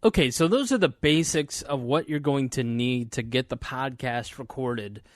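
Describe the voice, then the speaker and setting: Male podcast host, relaxed rambling chat, studio mic, dead-dry sound. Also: mid-range voice, moderate pace, no echo. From Indiana, US. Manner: chatty, informal, many fillers and restarts. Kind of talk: casual solo talk about podcast gear. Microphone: studio microphone.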